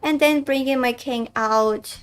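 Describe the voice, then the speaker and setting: A woman's voice: high-pitched, a little slow, clear, resonant tone, faint music behind. A woman from Russia with a Russian accent, commentating her own chess games live and chatting to viewers as she thinks aloud. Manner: chatty, addresses chat as guys, thinks aloud. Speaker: chess streamer. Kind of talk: live-stream commentary while playing.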